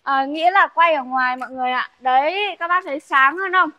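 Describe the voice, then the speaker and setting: A woman's voice: high-pitched, brisk, no room sound, muffled recording. A young woman selling used cars, chatting casually to viewers and repeating her points as she walks them through a car and a showroom. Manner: chatty, repeats herself, addresses viewers as "các bác". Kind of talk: casual showroom sales walkthrough.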